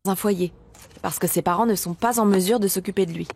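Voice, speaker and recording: A woman plays a friendly female dinosaur teacher from an educational cartoon for children. She explains patiently and cheerily in a medium-pitched voice, with clear noise behind her on the soundtrack. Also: brisk, darkish tone, close to an everyday voice. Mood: patient, explaining.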